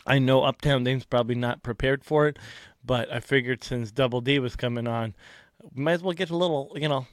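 Casting: male podcast host, mid-pitched, rambling and loose, dry close recording. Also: a little fast, dry recording. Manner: loose, rambling, lots of filler words. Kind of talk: casual podcast chat.

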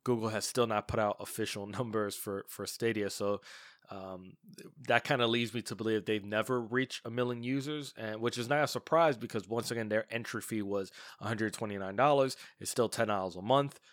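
A man with a lowish, stuffed-up voice from a cold talks through a solo gaming podcast in a loose, chatty way, full of "um"s and false starts. The sound is dry and close, with no room around it. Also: fairly even intonation, brisk, no room sound. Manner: chatty, many fillers and restarts. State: congested from a cold. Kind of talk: casual solo podcast commentary.

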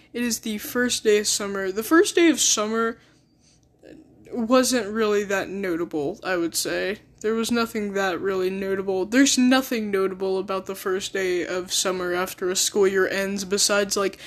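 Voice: mid-range voice, a bit bright. A teenage student rambling through a casual storytime vlog, repeating phrases and stressing words. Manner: rambling, repetitive, emphatic.

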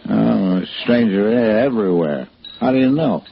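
Anxious drunken husband, swooping voice. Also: male voice, lowish voice, expressive, moderate pace, vocal fry. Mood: drunken, rambling. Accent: American. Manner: rambling, hesitant, stammering and repeating himself. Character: anxious, drunken, heartbroken husband hiding behind a false name. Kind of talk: 1940s-50s American radio drama acting. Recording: muffled, hissy old radio recording.

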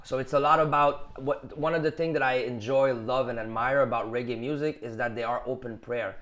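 A man's voice: medium pitch, fairly even intonation, brisk, fairly bright tone. A man from Quebec, a rapper with a Quebec French accent, talking in an interview and explaining at length in long, thoughtful runs. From Quebec, Canada. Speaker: rapper and musician guest. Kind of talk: interview conversation.

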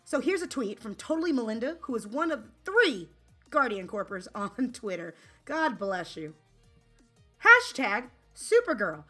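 A woman's voice: a little high, very animated, unhurried, sharp tone, husky, soft background music. A woman co-hosting a casual podcast, playful and bubbly, often tossing in sound effects and little sung bits. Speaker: podcast co-host.

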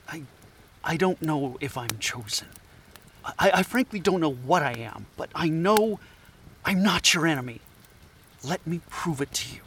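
Male actor: scatterbrained anthropologist pleading his case, persuasive but halting, fairly high voice, audio drama. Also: lively intonation, fairly bright tone, slight background noise. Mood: earnest, pleading.

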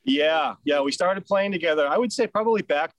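A man with a Boston accent, a band's singer and rhythm guitarist, telling stories in a relaxed, chatty way during an interview. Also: a little high, fairly quick, no room sound. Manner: easygoing, chatty storyteller. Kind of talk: casual storytelling in an interview.

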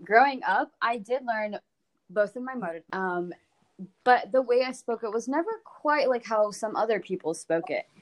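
A woman's voice: a little high, lively intonation, normal speed. A young woman from the United States with an American accent, sharing personal anecdotes informally and explaining as she goes in a group discussion.

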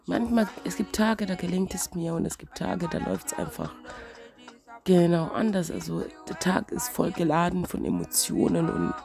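A woman with a cold talks slowly in a casual spiritual vlog, her voice stuffy and wheezing. Her tone sits a little low and turns gravelly and fried, as she searches for words in an unhurried, reflective way. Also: lively intonation, music in the background. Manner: slow, searching, reflective musing. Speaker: vlogger.

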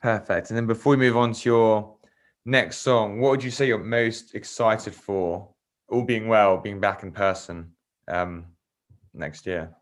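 A man's voice: lowish voice, fairly even intonation, unhurried. A man speaking as the host of a casual interview, putting questions to a guest in conversational style.

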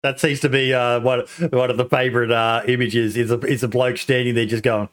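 A man's voice: medium pitch, flat delivery, brisk. A man from Australia hosting a casual podcast chat, talking with many ums and restarts. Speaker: podcast host.

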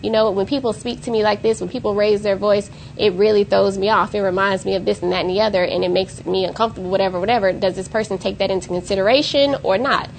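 A woman's voice: medium pitch, fairly even intonation, fast talker, creaky, hardly any pauses, a little hiss or hum, rough recording. A woman co-hosting a podcast, talking about relationships in an emphatic way and building up to pointed exclamations. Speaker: co-host. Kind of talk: casual podcast discussion.

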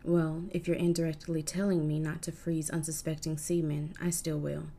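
A woman voicing a proud, defiant cursed gorgon woman in a hushed, breathy recitation. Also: deep voice, little pitch movement, normal speed. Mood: teasing.

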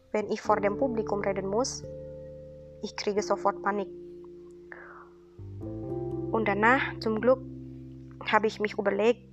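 Young woman, Indonesian accent, earnest, encouraging, halting non-native German, podcast talk, guitar music underneath. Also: mid-range voice. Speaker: podcast host, student. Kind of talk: casual monologue podcast. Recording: music bed behind voice.

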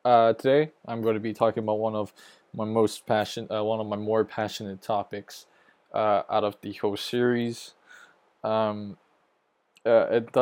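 Young male podcast host, American accent, low flat voice, halting delivery with constant restarts. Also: no room sound. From Hong Kong. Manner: hesitant, stumbling, frequent restarts. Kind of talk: casual opinion rant.

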